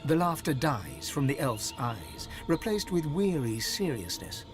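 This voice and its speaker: A man acting as the narrator of a fantasy game, telling scenes in an expressive storybook style. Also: on the high side, a little slow, over music. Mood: wry, descriptive. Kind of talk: scripted second-person narration.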